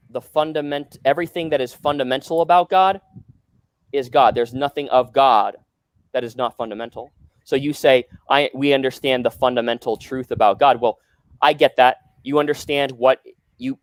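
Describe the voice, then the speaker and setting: A man caller defends his view in a heated debate, tripping over restarts. His voice sits at a middle pitch, recorded dry and close with no room. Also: smooth, no room sound. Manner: halting, stammering restarts.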